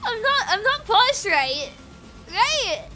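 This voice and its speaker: A woman's voice: very high-pitched, very animated, slow, over music. A young woman streaming a game in casual chat, bubbly and giggly, rambling and repeating her words, switching between British and American accents. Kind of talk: casual chat while gaming.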